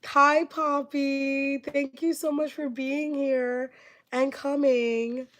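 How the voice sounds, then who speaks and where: A woman's voice: high voice, flat delivery, slow, fairly bright tone. A woman reading tarot on a live stream, rambling with many filler words and losing her voice from being sick. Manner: gushing thanks to viewers. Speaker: tarot reader.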